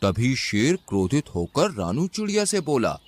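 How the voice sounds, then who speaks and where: Lively male storybook narrator. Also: mid-range voice, normal speed. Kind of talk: animated scripted storybook narration.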